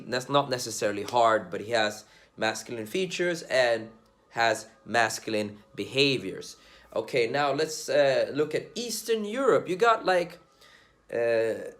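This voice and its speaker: A young man from Sweden talking casually and bluntly to camera, slangy and swearing as he gives his views on dating. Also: medium pitch. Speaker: dating commentator vlogger.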